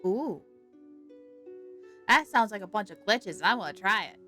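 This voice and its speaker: A woman's voice: medium pitch, animated, normal speed, pushed and bright, soft background music. A woman livestreaming a game with casual, chatty commentary, reacting aloud as she goes.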